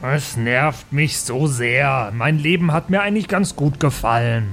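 A man's voice: medium pitch, a little slow, a bit bright, faint music behind. A man playing a sulky, reluctant young adventurer in an improvised fantasy audio play, grumbling in a casual drawl.

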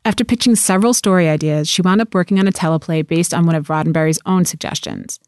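A woman's voice: on the low side, quick pace, a little mellow, smooth, dry recording, studio quality. A woman reading scripted documentary narration as the narrator.

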